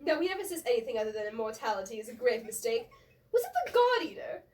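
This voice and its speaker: A woman's voice: a little high, big pitch swings, fast, a little mellow, recorded from a distance. A woman voicing a whiny, rambling, naively earnest half-elf man in a comic fantasy reading. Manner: chatty, whining, naively earnest.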